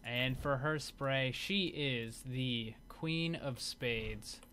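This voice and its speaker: A man's voice: medium pitch, very slow, sharp tone, a little hiss or hum. A man giving casual, off-the-cuff commentary as host of a gaming showcase video.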